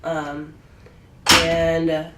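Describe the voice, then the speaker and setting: A woman's voice: deep voice, completely flat delivery, extremely slow, echoey room, recorded from a distance, heavy background noise. An eighteen-year-old woman from the United States answering interview questions in a casual, hesitant way, with lots of "um" and "like". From Ohio, USA; born in Taiwan. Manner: hesitant, many fillers like "um" and "like".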